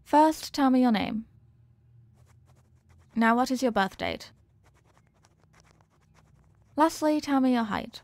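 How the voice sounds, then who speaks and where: A woman's voice: high voice, lively intonation, slow pace, pauses often, darkish tone, dry recording, full character voice. A woman playing an earnest, formal young scientist who is fond of her test subject, in a scripted roleplay with precise, clinical wording. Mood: attentive, clinical. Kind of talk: scripted roleplay addressed to the listener.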